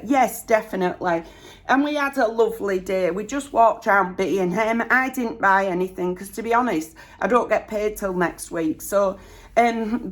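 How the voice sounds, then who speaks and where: A middle-aged woman with a Northern English accent, slightly croaky, chatting homely and rambling while doing her makeup. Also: mid-range voice. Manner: rambling, homely, lots of ums and rights. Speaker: vlogger. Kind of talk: chatty get-ready-with-me storytime.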